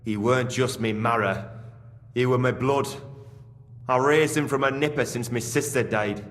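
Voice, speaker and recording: A man's voice: mid-range voice, steady tone, moderate pace, a bit bright, lightly acted. An actor playing a plain-spoken, devout mine foreman with a Geordie accent and earthy dialect in a video game. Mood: grieving. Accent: Northern English, Geordie. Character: grieving working-class miner foreman, devout and plain-spoken. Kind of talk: video game dialogue acting. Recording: long cavernous reverb.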